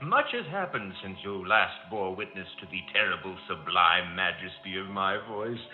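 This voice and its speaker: A man's voice: deep voice, expressive. A man playing a gleefully macabre, pompous announcer in a theatrical horror radio drama. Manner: pompous, drawn-out theatrical delivery. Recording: thin phone-like old-radio sound over music.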